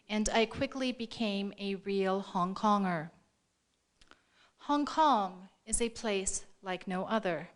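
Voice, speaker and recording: A woman reading a formal award-ceremony speech aloud in a measured, deliberate way. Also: medium pitch. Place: live event room before an audience.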